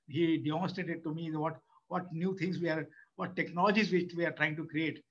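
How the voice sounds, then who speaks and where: An older Indian man with a fairly high voice speaks off the cuff at a webinar, stopping and restarting his sentences often. The sound is dull and lo-fi, as from a video call. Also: bright, forceful tone. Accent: Indian English. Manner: halting, many restarts and fillers. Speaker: webinar speaker, former institute chairman. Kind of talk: unscripted speech.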